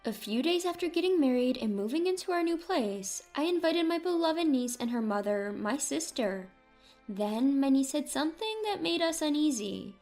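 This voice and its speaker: Casting: female narrator, high voice, scripted first-person storytelling over faint music. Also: very animated, moderate pace, darkish tone.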